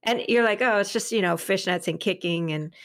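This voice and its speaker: A middle-aged woman chats as an interview guest in a close, dry recording with very little room sound. Her voice sits at an average pitch for a woman. She tells her stories effusively, slipping into re-enacted dialogue as she goes. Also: brisk, dry recording. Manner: animated, effusive, re-enacts dialogue, laughs often. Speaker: podcast guest, author and creative. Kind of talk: casual interview storytelling.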